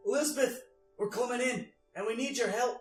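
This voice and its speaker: A man's voice: extremely high pitch, a little fast. A man playing an anxious, urgent young comrade in an audio drama. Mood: worried. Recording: music under the voice.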